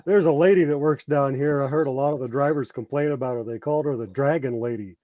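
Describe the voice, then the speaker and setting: A man's voice: mid-range voice, quick pace, highs cut off. A man from Oregon chatting easily as a guest on a live stream, laughing often. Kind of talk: casual live stream chat.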